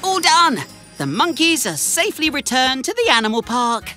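A woman's voice: high voice, very animated, moderate pace, over music. A woman voicing a cheerful, earnest young boy tank engine in a children's cartoon. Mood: delighted. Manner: bright-eyed, earnest, exclamatory.

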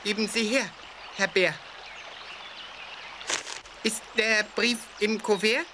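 A woman voicing a brisk, self-important cartoon animal with a formal, businesslike manner. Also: medium pitch, steady tone, close to an everyday voice. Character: brisk, self-important animals: elegant goose, messenger hare. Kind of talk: children's cartoon voice acting. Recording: clearly noisy soundtrack.

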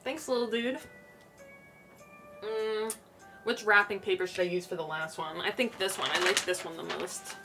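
A young woman streamer chatting casually and softly while wrapping gifts on her bedroom floor, stammering a little now and then. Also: medium pitch, moderate pace, vocal fry, long pauses. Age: young adult. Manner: soft-spoken, relaxed, stammers a little. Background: background music.

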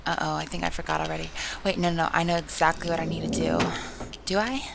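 A hoarse young woman chats through a video game, teasing herself and dropping into soft, breathy half-whispers. Her voice sits low and fairly flat, with a gravelly, frayed edge. A clear hiss of noise runs under the recording. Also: fast. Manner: chatty and self-mocking, drops into soft whispery asides. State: hoarse, sore throat. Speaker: gameplay commentator. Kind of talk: casual let's-play commentary.

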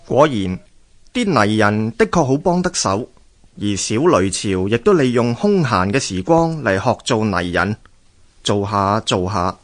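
A man with a Hong Kong Cantonese accent narrating a scripted radio story in a measured, expressive storytelling manner. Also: medium pitch.

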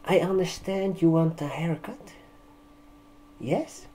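A man in his twenties doing a soft-spoken ASMR barbershop roleplay, speaking haltingly in short phrases. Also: fairly high pitch. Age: 20s. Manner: slow, halting, hushed delivery with short phrases. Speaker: ASMR performer playing a barber receptionist. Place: indoor room, seated at a desk. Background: computer keyboard typing and clicks.

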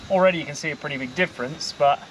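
A man's voice: fairly high pitch, racing, low-quality recording. Australian man explaining boat work outdoors. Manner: relaxed, matter-of-fact explaining while working. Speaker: boat owner doing his own maintenance. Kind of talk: casual how-to narration. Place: outdoor boatyard. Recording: faint outdoor noise.

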